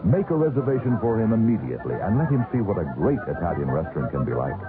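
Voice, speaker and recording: Deep-ish American announcer, very animated. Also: male voice, quick pace. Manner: mock-pitying rhetorical questions. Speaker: advertising announcer. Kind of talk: persuasive scripted restaurant commercial. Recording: narrow vintage radio with music. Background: music.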